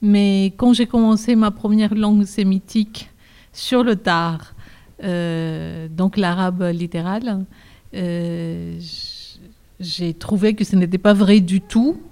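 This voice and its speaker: A woman from Greece, a literature professor and translator, answering interview questions in a measured, thoughtful way. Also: medium pitch, dark tone.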